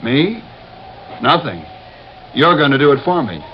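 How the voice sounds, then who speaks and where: A man's voice: on the high side, very animated, a little slow. An actor playing a smug, menacing gunman who mocks and orders people around in a vintage radio drama. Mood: smug, mocking. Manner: sneering, mocking, commanding. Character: smug, menacing gunman villain, scornful schemer. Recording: muffled, hissy old-time radio recording.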